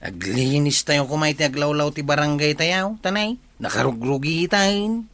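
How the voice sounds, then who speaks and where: A man's voice: a little high, expressive, moderate pace, a bit bright. An actor with an Ilocano Filipino accent playing an eager, coaxing village man in a radio comedy drama.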